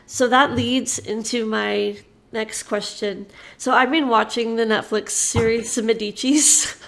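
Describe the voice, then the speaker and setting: A woman hosting an interview, enthusiastic and quick to laugh as she asks her questions. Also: on the high side, steady tone, gravelly, fairly bright tone. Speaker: host. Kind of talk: interview host.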